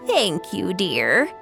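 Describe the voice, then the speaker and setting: An elderly, devout grandmother for a video game. She speaks very slowly and politely, with lively rises and falls. Her manner is gentle and grateful, over prominent music. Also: lowish voice, gravelly, full character voice. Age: older. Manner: polite, gentle, unhurried, reverent.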